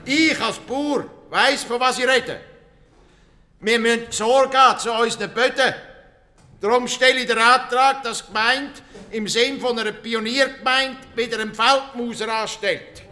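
An insistent male farmer making a formal speech in a radio play. His voice is strikingly high and forceful, with a Swiss German accent. He states his case emphatically. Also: normal speed. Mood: solemn, urgent. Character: determined male farmer addressing a village assembly.

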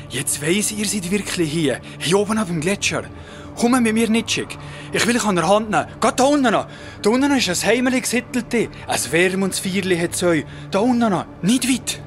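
A man playing a kindly, flustered mountain man in an audio drama, stammering earnestly. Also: high-pitched.

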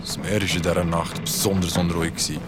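A man playing a young yacht worker who narrates an eerie ordeal in a radio play, haltingly and with suspense. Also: low voice, steady tone, noisy background, natural voice. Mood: haunted, suspenseful.

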